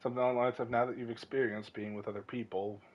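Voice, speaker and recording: A man's voice: medium pitch, flat delivery, rapid-fire, lo-fi sound. A man giving casual, rambling running commentary on a video game, musing aloud as he goes. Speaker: game streamer.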